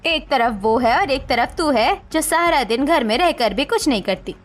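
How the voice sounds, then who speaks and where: Woman doing high voiced cartoon family drama lady, very emphatic and wounded or scolding, faint music behind. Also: animated, moderate pace. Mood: reproachful, hurt. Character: nagging, scornful mothers-in-law and hurt young daughters-in-law. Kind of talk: animated Hindi family drama acting.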